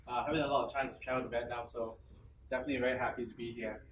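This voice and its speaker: A man from Malaysia giving a relaxed, casual conference talk as a program manager, filling pauses with many uhs. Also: medium pitch. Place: conference hall. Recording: echoey hall, distant mic, clear noise.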